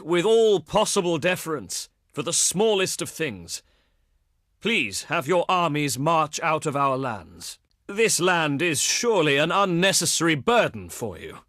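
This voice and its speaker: Ancient Greek diplomat for a strategy game: higher-pitched, forceful, ceremonious, pious and honey-tongued. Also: male voice, dry recording, clean studio sound. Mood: formal, insistent. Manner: declamatory, ceremonious, deliberate phrasing. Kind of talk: theatrical scripted game dialogue.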